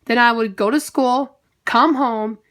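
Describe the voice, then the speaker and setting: A young woman talks straight to her viewers in a chatty, upbeat way. Her voice is high and bright, with a nasal, congested sound from a head cold. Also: unhurried. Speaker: vlogger. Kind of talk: casual talk to camera.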